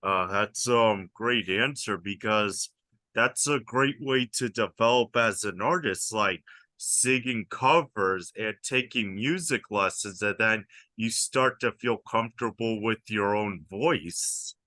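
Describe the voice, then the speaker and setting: Young male interviewer, slow and hesitant, dry close recording. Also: lowish voice, bright, forceful tone, dry recording. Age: young adult. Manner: hesitant, many ums. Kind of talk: reading numbered interview questions.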